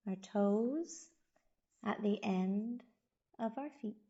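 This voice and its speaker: A woman guiding a body scan meditation as a yoga teacher, giving gentle, soothing instructions with long pauses. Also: mid-range voice, lo-fi sound.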